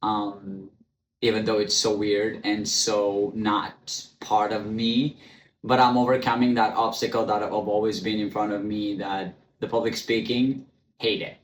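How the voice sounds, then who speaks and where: A man's voice: lowish voice, little pitch movement, a little slow, slight background noise. Candid male podcast host, echoey room. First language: not English. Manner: stutters, restarts, many ums; frank, blunt, swears casually. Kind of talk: candid solo podcast monologue. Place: room, recording on camera. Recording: echoey room, faint ambience.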